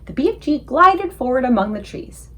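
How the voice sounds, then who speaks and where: A woman reading a children's book aloud as an expressive storyteller for a book club. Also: sits high, moderate pace.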